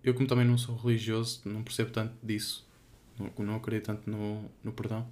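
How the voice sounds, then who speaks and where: A man's voice: fairly low pitch, flat delivery, fast talker, a little mellow. A young man with a European Portuguese accent chatting casually as a podcast co-host, rambling and hesitant, with many fillers.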